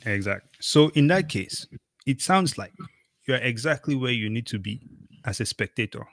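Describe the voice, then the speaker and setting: A man's voice: mid-range voice, lively intonation, normal speed, fairly bright tone, dry recording, studio quality. A middle-aged man from Cameroon hosting an interview over a video call, talking casually and emphatically with many fillers. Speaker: podcast host.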